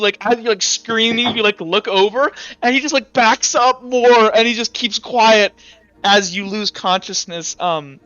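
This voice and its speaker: A man running a tabletop roleplaying game as game master, improvising dramatic narration haltingly and swearing casually. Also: high voice, bright, forceful tone, clear, resonant tone, no echo, lo-fi sound.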